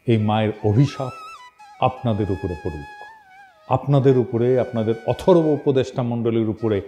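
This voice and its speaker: Animated northern Bangladeshi man, mocking commentary. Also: medium pitch, normal speed, faint music behind. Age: middle-aged. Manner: mocking, colloquial, rhetorical questions. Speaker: political commentator, online host. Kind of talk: informal monologue, storytelling political commentary.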